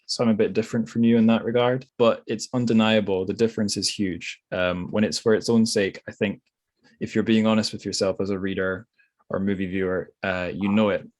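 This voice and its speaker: Male podcast host, slightly low register, casual literary discussion, musing and tentative delivery. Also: fairly even intonation, moderate pace, a little mellow.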